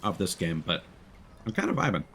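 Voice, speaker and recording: Guy streaming a game, lowish voice but really animated, thinking out loud, noisy background. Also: a little fast. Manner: thinks aloud, playful. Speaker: live streamer. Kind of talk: casual gameplay commentary.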